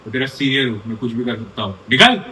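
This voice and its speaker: A man's voice: medium pitch, expressive, racing, pushed and bright, roomy sound, slight background noise, low-quality recording. A young man playing an arrogant, domineering college senior who bullies a junior. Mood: bullying. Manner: rude, domineering.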